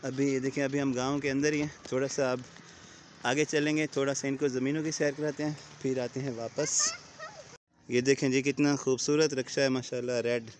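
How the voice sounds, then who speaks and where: A man's voice: mid-range voice, flat delivery, normal speed, a little hiss or hum. A Pakistani man in his thirties with a Punjabi-region Urdu accent, talking to viewers in a casual village vlog while he walks outside with children and films himself on a phone. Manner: cheerful, chatty, often laughing and coaxing children. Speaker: village vlogger. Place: outdoors on a rural road by fields. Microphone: phone camera at arm's length.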